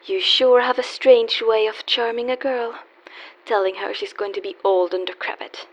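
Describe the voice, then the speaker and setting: A woman's voice: on the high side, fairly even intonation, moderate pace, natural voice. A woman playing a wry, world-weary young call girl in a radio play, teasing yet guarded and wistful. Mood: defensive. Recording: dull lo-fi audio with faint hiss.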